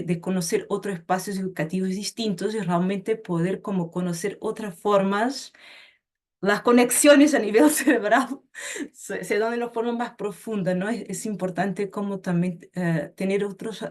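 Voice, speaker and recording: A woman's voice: mid-range voice, steady tone, normal speed, a little mellow. A woman from Portugal with a Portuguese accent, presenting her education project in a casual, hesitant way with many fillers.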